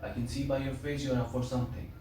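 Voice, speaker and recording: An actor playing a bemused friend in a stage play, questioning and scolding as he tries to calm things. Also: medium pitch, very fast, lightly acted. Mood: puzzled scolding. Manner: questioning, chiding. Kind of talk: theatrical stage-play dialogue. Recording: very echoey room, distant mic, faint noise.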